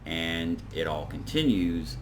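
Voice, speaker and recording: A man's voice: sits low, animated, takes it slowly, noisy background. A man hosting an informal video essay, recapping and commenting in a rambling, conversational way. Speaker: video reviewer and host.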